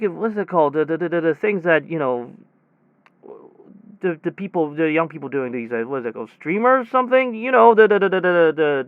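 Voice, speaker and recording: A man acts out a technician in an audio drama, chatting through a strange workday. His voice sits fairly high and is very clear, yet he stumbles, repeats himself and wanders off track. The recording is dry and slightly dull. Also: no room sound, lightly acted. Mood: awed, searching. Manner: halting, rambling, repetitive, self-interrupting. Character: rambling male technician recounting his bizarre workday. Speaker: air conditioner installation technician. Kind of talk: conversational audio drama monologue.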